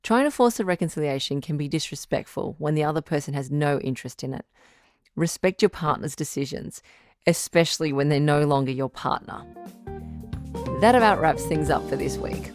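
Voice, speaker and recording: A woman playing a caring, composed radio relationship doctor who gives advice in a measured, articulate, reassuring way. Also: sits low, animated, a little mellow, no room sound, clean studio sound, soft background music. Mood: composed sign-off. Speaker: radio advice show host. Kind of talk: radio drama advice-show acting.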